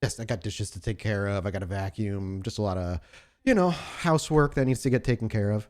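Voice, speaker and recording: A man's voice: on the low side, a little fast, darkish tone, lightly acted. A man voicing a nervous, evasive young woman who is timid, apologetic and stammers in a tabletop roleplaying game. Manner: hesitant, stammering. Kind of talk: tabletop roleplay character acting.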